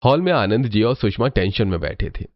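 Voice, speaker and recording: A man's voice: fairly low pitch, expressive, fairly quick, muffled recording, a little hiss or hum. A man narrating a family story from a script.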